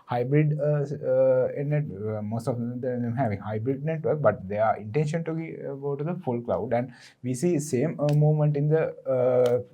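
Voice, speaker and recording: A man on a roundtable panel, speaking haltingly and restarting his words. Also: mid-range voice, mellow, highs cut off. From Sri Lanka. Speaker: solution specialist, panelist.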